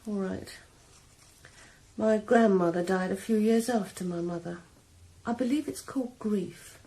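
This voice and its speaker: An actress playing a young woman killer calmly confessing her revenge in a 1980s radio drama. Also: mid-range voice, natural voice, rough recording. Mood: cold calm. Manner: calm, measured, quietly cold. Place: sauna.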